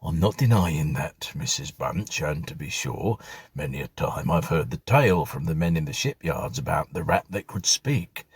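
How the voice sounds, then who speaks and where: A man's voice: very deep voice, animated, moderate pace, husky, no room sound, heavily acted. A man voicing a flustered, superstitious ageing butler in a storybook reading, rambling in colloquial servant speech. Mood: insistent.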